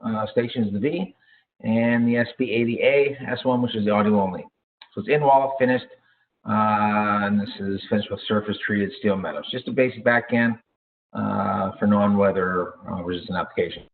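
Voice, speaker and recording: Deep-voiced man on a phone-like line, presenting. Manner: halting, self-correcting delivery with frequent hesitations. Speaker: product trainer. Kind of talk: informal product training presentation. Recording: thin, phone-like narrow-band audio.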